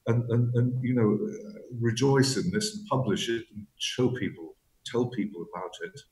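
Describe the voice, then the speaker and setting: A man's voice: mid-range voice, normal speed, darkish tone, roomy sound, low-quality recording. A man being interviewed as an author. He talks reflectively, often repeating words as he searches for the right phrase. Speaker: author guest.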